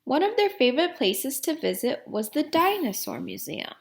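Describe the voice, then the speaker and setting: A woman reading a children's storybook aloud like a teacher, deliberately, putting questions to young listeners. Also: sits high, big pitch swings, vocal fry.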